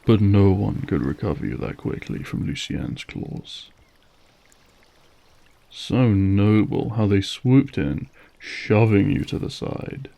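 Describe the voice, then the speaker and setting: A man's voice: lowish voice, gravelly, mellow, full character voice. A male actor playing a suave, menacing, manipulative vampire lord in an audio roleplay, silky, mocking and formal as he savors each phrase. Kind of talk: scripted audio roleplay acting.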